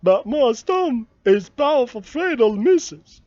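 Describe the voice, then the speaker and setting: A man's voice: extremely high pitch, big pitch swings, normal speed, warm tone, no room sound, pitched above the performer's own voice. A man voicing a timid, nervous young boy who pleads anxiously, in a dull, dry recording.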